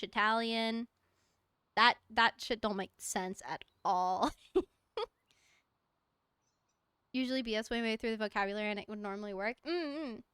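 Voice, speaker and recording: A woman's voice: a little high, fairly bright tone, clean tone, no room sound. A young woman streaming a game from home, chatting casually in a rambling, giggly way and talking to objects in the game. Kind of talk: casual chat while gaming.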